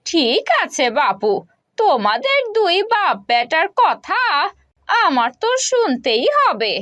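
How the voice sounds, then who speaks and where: Cartoon scolding mother, sarcastic, theatrical, slow drawn-out delivery, dry close sound. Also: high voice, sing-song, fairly bright tone, no echo, heavily acted. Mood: exasperated. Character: scolding, sarcastic village mother of a lazy son. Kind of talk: cartoon folk-tale voice acting.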